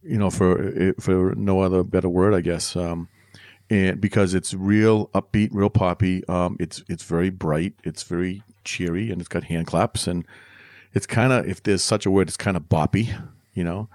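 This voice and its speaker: A man's voice: sits low, fairly even intonation, normal speed, a little mellow, no room sound, clean studio sound. A middle-aged man co-hosting a music podcast with his son, chatting in a rambling, friendly way with lots of restarts. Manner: chatty, rambling with restarts, corny dad jokes. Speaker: podcast co-host. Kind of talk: casual podcast chat.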